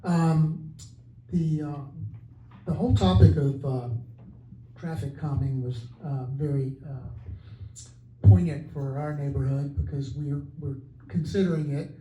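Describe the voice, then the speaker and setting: Man, higher pitch, very dark tone, halting, lots of fillers, distant echoey hall. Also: fairly even intonation, a little hiss or hum. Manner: halting, many filler words. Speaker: neighborhood association alternate. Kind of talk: public meeting statement. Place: meeting hall.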